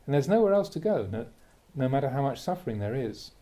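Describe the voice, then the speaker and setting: A man, a Buddhist monk, reads a translated spiritual talk aloud in a measured, emphatic way, posing rhetorical questions. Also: medium pitch, very animated, warm tone. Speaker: Buddhist monk reading a teacher's talks aloud. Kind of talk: reading a translated Dharma talk aloud, with brief asides.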